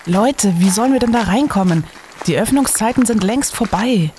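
An actor playing an eager, gung-ho young male student in a radio play, excitable and dramatic. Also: medium pitch, moderate pace, a faint crowd, a little hiss or hum, natural voice. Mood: curious.

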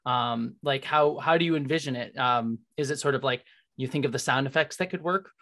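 Female interviewer, thirties, low register with plenty of vocal fry, informal and conversational. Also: brisk, a bit bright. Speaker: host, interviewer. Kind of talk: casual interview chat. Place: indoor home studio, on a video call. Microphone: large microphone on a boom arm.

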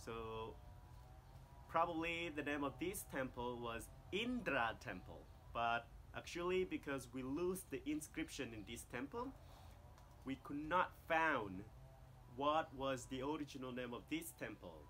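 A man's voice: medium pitch, animated, a little slow, sharp tone, a little hiss or hum. A Cambodian man in his thirties, a tour guide speaking careful non-native English while explaining a temple outdoors to the camera. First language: Khmer. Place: outdoors among jungle temple ruins.

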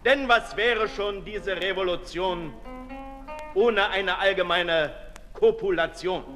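A man playing a brooding, sensual libertine aristocrat in a 1960s German radio drama, declamatory, moving between hushed and loud. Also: high-pitched, takes it slowly, faint music behind. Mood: impassioned, agitated. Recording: reverberant 1960s radio recording, faint noise.

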